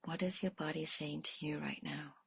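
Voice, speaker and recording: A woman leading a guided meditation in a soft, hushed way, leaving pauses between phrases. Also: low voice, fairly even intonation, vocal fry, breathy edge.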